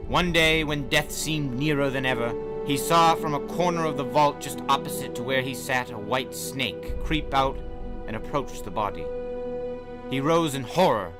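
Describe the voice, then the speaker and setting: A man hosting and narrating old tales in a dramatic storybook style, with emphatic, deliberate phrasing. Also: medium pitch. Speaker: podcast host and story reader. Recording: music under the voice. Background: music.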